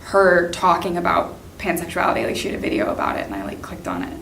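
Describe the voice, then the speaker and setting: A young woman from Maine, lively and giggly, answering documentary interview questions. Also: lowish voice, quick pace, vocal fry, recorded from a distance. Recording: echoey room, faint noise.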